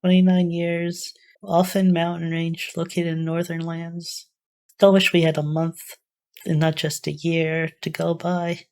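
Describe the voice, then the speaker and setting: Low-voiced, even-toned woman musing aloud. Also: unhurried. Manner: musing, tentative, thinking aloud. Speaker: reaction video host. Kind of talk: casual off-the-cuff reaction commentary.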